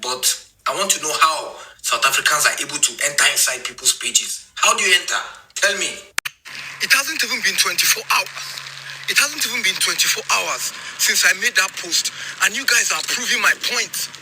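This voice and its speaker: A man, a social media creator, talking to camera in an animated, teasing, exclaiming way. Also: high-pitched, normal speed, noisy background. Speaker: TikTok creator. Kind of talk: casual talking-to-camera video.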